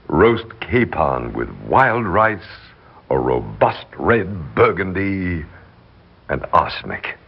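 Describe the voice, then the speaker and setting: An actor in a 1960s radio drama playing a scheming, persuasive male servant with an insinuating, deliberate manner. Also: low voice, husky, exaggerated voice. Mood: coolly calculating. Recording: dull, noisy 1960s radio recording.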